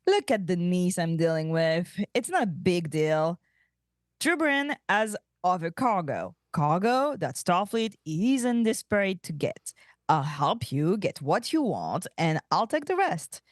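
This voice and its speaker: A haughty alien aunt from a sci-fi cartoon script, played by a woman at a table read. She is sardonic and money-minded, with a flirtatious edge. Her delivery is lively and varied, on a dry, close recording. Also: fairly low pitch, a little slow, no room sound. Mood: shrewd, sardonic. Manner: sardonic, cutting.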